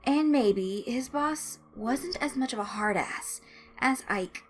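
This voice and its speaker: Animated high-pitched woman narrating a story. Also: slow. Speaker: narrator reading a fan-written story. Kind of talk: expressive scripted narration with character voices. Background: music under the voice.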